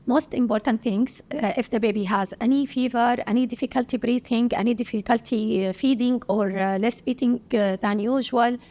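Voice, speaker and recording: A woman from Syria with an Arabic accent, a pediatrician answering interview questions haltingly, with many pauses and filler sounds. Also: on the high side, steady tone, dark tone. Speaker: pediatrician guest.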